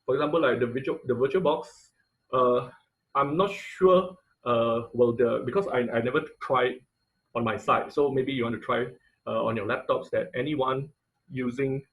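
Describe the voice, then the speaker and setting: Man, online instructor, medium pitch, informal lecture, hesitant halting phrasing, video call mic. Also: steady tone, fairly bright tone. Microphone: computer mic on a video call.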